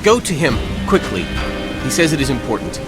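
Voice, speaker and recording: Loyal game guard played by a male actor, formal, respectful, mid-pitched, loud music behind. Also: very animated, brisk, close to an everyday voice. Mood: grave, urgent. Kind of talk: video game cutscene acting.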